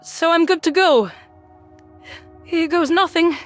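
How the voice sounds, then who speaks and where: A tiny alien rodent female for a sci-fi audio drama. The voice is extremely high, cheeky and teasing, with an affectionate streak. Music plays behind it. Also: slow pace. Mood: tentative.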